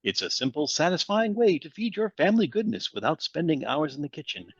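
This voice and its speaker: Dull, lo-fi sound carries an earnest 1940s radio pitchman, played by a man. His pitch is slightly high and moves in a lively way. He sells with polished persuasion over faint music. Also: fairly quick. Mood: earnest selling. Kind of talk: 1940s radio advertisement read.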